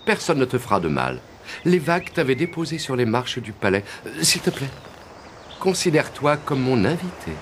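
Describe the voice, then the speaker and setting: A man voicing a young, kind-hearted prince in an animated fairy tale, courteous, slightly hesitant and reassuring. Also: mid-range voice, normal speed, noisy background, close to an everyday voice. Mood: gentle, reassuring.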